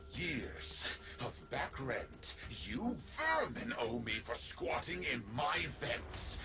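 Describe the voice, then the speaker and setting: Greedy landlord villain: condescending, menacing, very slow theatrical drawl, wildly swinging pitch, game dialogue over music. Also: male voice. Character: oily greedy villainous male landlord, mock-kindly and menacing. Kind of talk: video game character acting. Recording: music under the voice.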